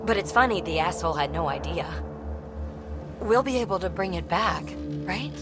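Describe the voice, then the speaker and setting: A woman's voice: lowish voice, expressive, moderate pace, loud music behind the voice, close to an everyday voice. A woman playing a young, determined squad leader in a sci-fi video game, with an informal, wry, plain-spoken manner. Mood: wry disbelief. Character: young, determined, wry female space explorer leading a squad. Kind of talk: video game cutscene acting.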